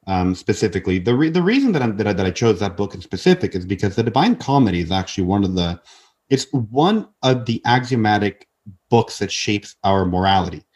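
A man's voice: lowish voice, big pitch swings, a little fast, darkish tone, breathy edge. A man in his twenties, a podcast guest talking earnestly in casual conversation, often restarting and repeating words. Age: 20s.

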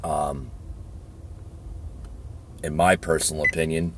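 Casting: hoarse male podcast host, very low and slow, noise behind. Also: little pitch movement. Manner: halting, many fillers. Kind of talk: casual talk.

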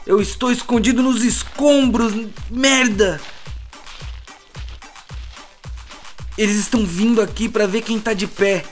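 Male actor as stranded merchant, Brazilian accent, agitated, halting, sweary, audio drama, prominent music underneath. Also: high-pitched, fairly even intonation, natural voice. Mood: desperate, afraid. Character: panicked male merchant stranded among wreckage, foul-mouthed, flustered. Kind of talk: audio drama distress message monologue.

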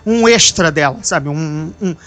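A podcast host and novelist with a Brazilian accent, chatting casually, animated and quick to swear, often restarting sentences. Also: medium pitch. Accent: Brazilian Portuguese. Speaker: author of a novel.

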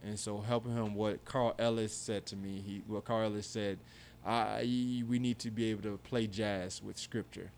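A man's voice: lowish voice, little pitch movement, normal speed, hardly any pauses, darkish tone, a little hiss or hum. A man in his thirties co-hosting a conversational discussion, earnest and reflective, often restarting his sentences. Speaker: podcast co-host.

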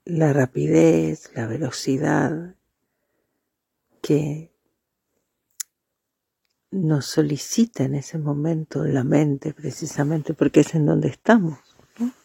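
A meditation guide speaking softly and breathily in a calming, deliberate way with marked pauses. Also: mid-range voice, gravelly, slightly rough, no echo, studio quality.

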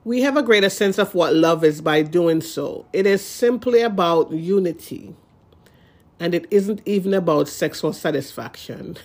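A blogger with a congested nose, so every word comes out nasal. They read a written passage aloud at a measured pace. When they explain it in their own words, they stall and repeat themselves. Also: medium pitch. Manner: halting and repetitive when speaking off the page. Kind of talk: reading a relationship post aloud, then explaining it.

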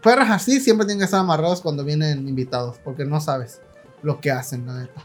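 A man hosting a podcast in casual chat, with a Mexican Spanish accent, slangy and quick to laugh as he reads comments. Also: a little high, soft background music. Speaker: podcast host.

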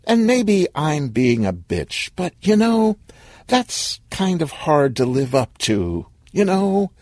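Man as young woman, raised swooping pitch, frank, breezy, restless, storybook. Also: wildly swooping pitch, slow, a little mellow, no room sound, clean studio sound, exaggerated voice. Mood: reluctant, apologetic.

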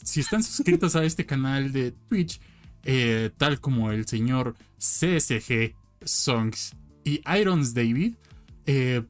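A Mexican man co-hosting a podcast, reading out listener questions with many fillers. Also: medium pitch. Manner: hesitant, filler-heavy announcing. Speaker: podcast co-host. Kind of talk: casual chat.

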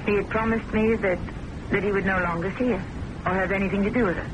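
A woman's voice: fairly even intonation, quick pace, natural voice. An American woman acting an intense, possessive, romantically obsessed woman in a theatrical radio mystery drama. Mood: bitter, defensive. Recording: noisy old radio broadcast with rumble.

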